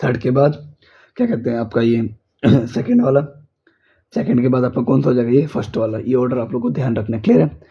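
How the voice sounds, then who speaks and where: Hoarse man teaching chemistry. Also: mid-range voice, lively intonation, brisk, mellow. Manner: repeats checks like "clear?" and "okay?". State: hoarse from a cold. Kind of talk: online exam-prep lecture. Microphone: speaker's mic is faulty.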